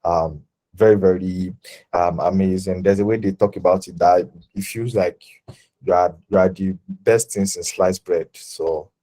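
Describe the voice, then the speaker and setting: A young Nigerian man in his twenties talking about his life and design work in a relaxed interview, full of fillers and self-corrections. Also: low-pitched, a little slow, low-quality recording. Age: 20s. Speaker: designer guest. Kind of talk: casual interview talk.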